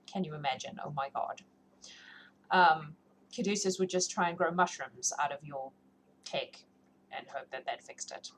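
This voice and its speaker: A woman's voice: low-pitched, moderate pace, pauses often. A woman hosting a livestream, chatting casually and informally to her viewers in a rambling, self-deprecating way. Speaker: livestream host who reads books aloud. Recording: clearly noisy livestream audio.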